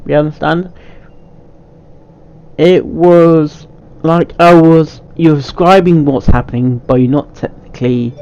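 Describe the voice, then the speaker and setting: A man hosting a casual, unscripted reaction video, speaking haltingly and often stumbling, repeating and restarting his phrases. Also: medium pitch, mellow, dull audio.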